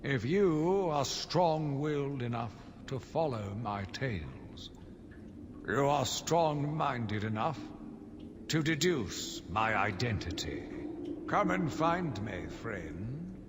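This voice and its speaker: An actor playing a zealous, deluded asylum warden who delivers a theatrical, deliberate diary monologue in a video game. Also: male voice, medium pitch, big pitch swings. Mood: grandiose fervor. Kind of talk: dramatic first-person game monologue. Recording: long reverb, clearly noisy ambient backdrop.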